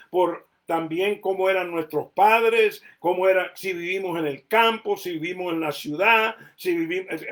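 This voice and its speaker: High gravelly man preaching with Caribbean Spanish accent. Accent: Caribbean Spanish, Cuban and Puerto Rican influenced. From Colombia, raised mostly in the United States. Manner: conversational, repeats words while thinking. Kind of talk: sermon teaching.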